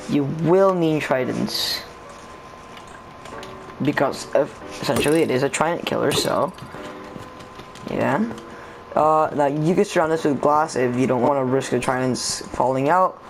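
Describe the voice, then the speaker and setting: A man who is sick, his voice sounding off, casually explaining a game build step by step and counting blocks aloud. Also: fairly high pitch. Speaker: game tutorial creator. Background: background music.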